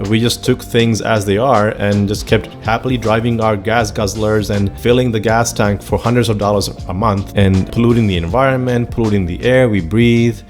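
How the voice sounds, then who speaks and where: A man's voice: lowish voice, a little mellow. A man in his thirties with a Finnish accent, a native Finnish speaker, talking earnestly and enthusiastically as host of his own vlog. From Finland. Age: 30s. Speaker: channel host. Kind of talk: personal vlog monologue.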